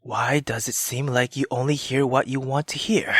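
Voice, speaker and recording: A weary aristocratic husband from a visual novel, acted by a woman, pitched low and held back. He sounds exasperated and defends himself with strained restraint, close and dry. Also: fairly even intonation, normal speed, dry recording. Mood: weary, irritated.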